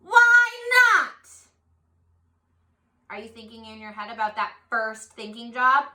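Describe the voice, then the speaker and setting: A woman's voice: on the high side, level tone, brisk, sharp tone. A woman teaching young children in a read-aloud lesson, asking simple questions and encouraging them directly. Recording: echoey room.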